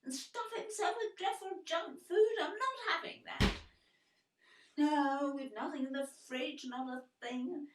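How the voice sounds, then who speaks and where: A woman's voice: high-pitched, a little slow, reverberant, far from the mic, noisy background. A woman playing an anxious, overprotective mother of a teenager, fussing shrilly, in an audio play. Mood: fretting.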